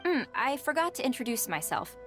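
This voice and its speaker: Polite, higher-voiced merchant lady. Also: very animated, a little fast, fairly bright tone, soft background music. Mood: curious, courteous. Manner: courteous, businesslike, well-spoken. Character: polite, pleasant woman merchant. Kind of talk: video game voice acting.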